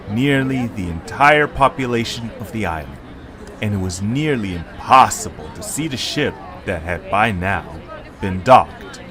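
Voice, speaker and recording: A man's voice: on the low side, fairly bright tone. Male story narrator on a noisy recording.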